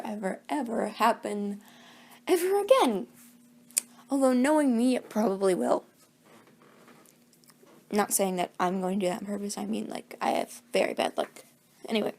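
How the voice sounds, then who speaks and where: A woman's voice: on the high side, darkish tone. A woman chatting casually in a rambling, self-deprecating vlog, her voice a little groggy. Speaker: hobby voice actor, channel owner.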